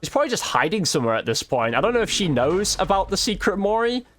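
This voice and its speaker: A man's voice: sits high, big pitch swings, fast, a bit bright. Casual gaming man with noise behind him. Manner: informal, thinking aloud while playing. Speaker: gaming video creator. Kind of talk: casual gameplay commentary.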